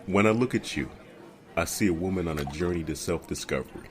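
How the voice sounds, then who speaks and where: A man playing a polished, perceptive romantic suitor in an audio drama, speaking in a measured, earnest, poetic way. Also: deep voice, faint music behind, close to an everyday voice. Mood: earnest, tender.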